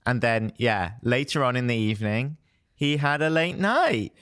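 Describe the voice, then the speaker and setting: A hoarse young man, a showbiz reporter telling festival stories in a chatty way and laughing often. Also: mid-range voice, animated, normal speed, fairly bright tone, no echo, studio quality. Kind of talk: casual podcast chat.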